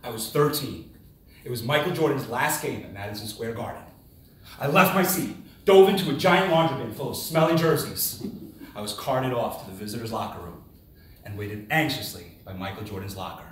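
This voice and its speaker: A man giving a rehearsed, humorous storytelling speech in a theatrical manner, with dramatic pauses and exclamations. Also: mid-range voice. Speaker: contest speaker. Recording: very echoey room, distant microphone.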